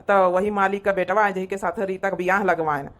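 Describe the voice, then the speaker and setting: A woman's voice: medium pitch, steady tone, a little fast, close to an everyday voice. A woman voicing an earnest, protective grown village son with an Awadhi accent in a cartoon comedy. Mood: earnest, persuasive. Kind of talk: Hindi cartoon comedy acting.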